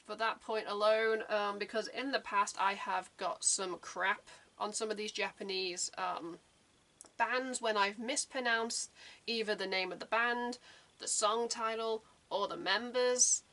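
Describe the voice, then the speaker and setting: A woman's voice: mid-range voice, steady tone, a little slow, pushed and bright. A young adult woman hosting a reaction channel, talking casually in a rambling, chatty way with lots of fillers.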